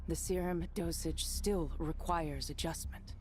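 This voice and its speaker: An actress playing a matter-of-fact lab researcher in a video game. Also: female voice, on the low side, fairly even intonation, a little slow, darkish tone, lightly acted. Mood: clinical.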